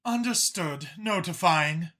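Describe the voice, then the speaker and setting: Guy doing a detached military comms woman, higher pitched, clipped calm reports, audiobook sci-fi. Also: slow pace, heavily acted.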